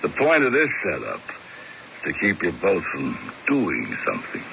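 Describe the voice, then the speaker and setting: An actor playing a blunt, cocky hired enforcer in a 1970s radio drama, with a gravelly voice. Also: male voice, low-pitched, huge pitch swings, measured pace, muffled recording, full character voice. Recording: hissy old radio broadcast.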